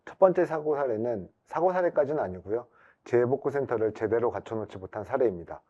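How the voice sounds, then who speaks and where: A man's voice: medium pitch, lively intonation, fast talker, dark tone. A man presenting in a webinar, explaining formally point by point. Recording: dull band-limited webinar audio.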